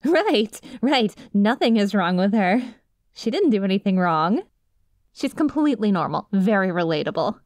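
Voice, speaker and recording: A woman's voice: medium pitch, big pitch swings, moderate pace, darkish tone, no echo, natural voice. A woman playing a nervous, clingy young best friend with a secret obsession, rambling hesitantly and casually in an ASMR roleplay. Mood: defensive, anxious.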